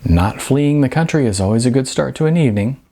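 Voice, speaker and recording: Man doing a young actress, sarcastic, exasperated, husky, pitch rising and falling a lot. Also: lively intonation, quick pace, mellow. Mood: wry. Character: young adult actress, wry and hurt.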